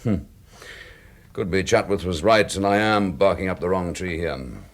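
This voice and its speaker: An actor playing a dry, dogged chief inspector in a radio drama, with a British accent and a brisk, wry, authoritative manner. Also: male voice, low-pitched. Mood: musing, dogged.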